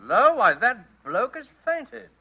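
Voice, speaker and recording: A man's voice: very high-pitched, sing-song, measured pace, over loud music, full character voice. An actor playing an eager, inquisitive newspaperman in an old-time radio play. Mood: concerned. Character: eager, cynical newspaperman hungry for gossip. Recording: dull, muffled old radio recording.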